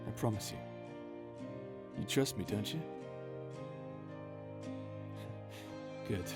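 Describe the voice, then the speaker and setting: A man acting in an ASMR audio drama as a caring, steady space pirate captain with a casual, teasing, reassuring manner. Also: on the low side, moderate pace, creaky. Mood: tender, reassuring. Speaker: voice actor in audio roleplay. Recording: music under the voice. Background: music.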